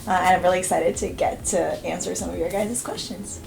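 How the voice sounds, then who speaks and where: A woman's voice: on the low side, fairly even intonation, fairly quick, vocal fry, a little mellow, a little hiss or hum, low-quality recording. A young woman in her twenties from Romania, a native Romanian speaker, answering interview questions in a chatty, hesitant way. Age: 20s. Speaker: student guest.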